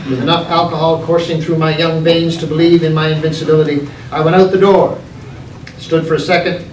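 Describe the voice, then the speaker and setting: Man, high-ish voice, theatrical live storytelling, deliberate dramatic pauses, echoey noisy recording, distant mic. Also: little pitch movement. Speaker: author reading from his own book.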